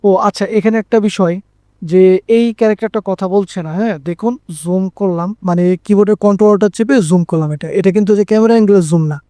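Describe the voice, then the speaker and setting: High-pitched, mellow Bangladeshi male tutor. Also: fast, no echo, studio quality. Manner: repeats phrases for emphasis, checks in with listeners. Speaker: animation tutorial presenter. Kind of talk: informal screen-recorded software tutorial.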